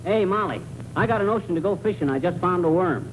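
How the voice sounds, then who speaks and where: A jokey, folksy sitcom husband from old radio, rapid patter, clearly noisy recording. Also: male voice, high voice, expressive. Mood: playful, eager. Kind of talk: old-time radio comedy acting.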